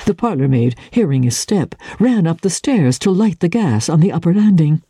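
Woman narrator, husky, mellow, unhurried, dramatic literary reading, dry close sound. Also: fairly low pitch, lively intonation, no room sound. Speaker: audiobook narrator.

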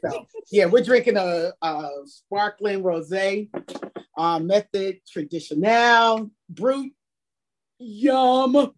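A woman co-hosts a casual show, joking with her guests. She speaks slowly. A faint noise sits under her voice. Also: medium pitch. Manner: playful, joking. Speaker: co-host. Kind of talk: podcast hosting.

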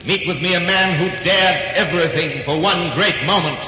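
An actor playing Adventure personified, a bold, mysterious, romantic host in dramatic 1940s radio style with heavy echo. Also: male voice, high voice, moderate pace, sharp tone, distant mic, lo-fi sound. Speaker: show host. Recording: cavernous reverb on noisy old radio.

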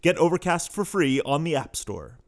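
A man's voice: on the high side, very animated, normal speed. A man reading a scripted sponsor message as an announcer. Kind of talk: scripted ad read.

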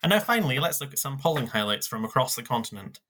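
A man co-hosting a scripted news roundup, reading headlines in a measured way with small asides. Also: mid-range voice, big pitch swings, pushed and bright, slight background noise. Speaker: news podcast co-host.

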